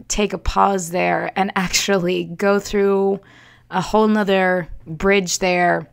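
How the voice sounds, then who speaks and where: A woman streamer talks casually in a smoky, tired voice with plenty of creak. Her pace is unhurried and her delivery is chatty, musing and a little theatrical, as a performer addressing her livestream. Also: lowish voice. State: voice rough the day after a livestream. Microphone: Yeti desktop microphone.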